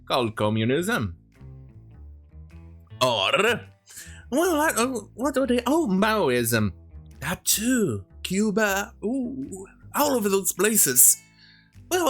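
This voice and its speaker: This is an opinionated online commentator in mid-rant, sarcastic and prone to swearing. The pitch swings up and down a great deal while the delivery halts and restarts. Guitar music plays along behind the voice. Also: fairly bright tone. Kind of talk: opinionated rant commentary, reading quotes aloud.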